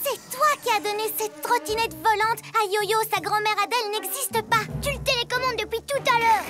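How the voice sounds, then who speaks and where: A woman's voice: extremely high pitch, a little fast. A woman voicing a spirited young child in a French children's cartoon, with theatrical, exclamatory delivery. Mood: urgent. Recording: loud music behind the voice. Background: cartoon score.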